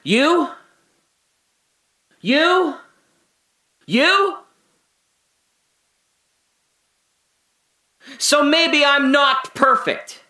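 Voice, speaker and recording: An actor playing a guilt-ridden trapped man in an audio drama monologue, halting and intense, confessing and pleading. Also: very high-pitched, expressive, full character voice. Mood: defiant, anguished. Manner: halting, intense, swinging from hushed confession to anguished cries.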